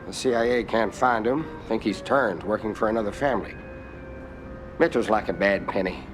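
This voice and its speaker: A man's voice: on the low side, normal speed, over music, close to an everyday voice. A man playing an agitated, worried politician in a game cutscene, blustering and full of questions. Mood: dismissive. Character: agitated, worried male senator in mob's pocket. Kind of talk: dramatic game cutscene acting.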